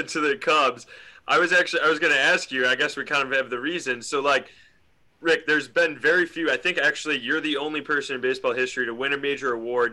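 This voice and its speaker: A man with a very bright, forceful voice at an average pitch. He talks casually, interviewing as a sports podcast co-host. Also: rough recording.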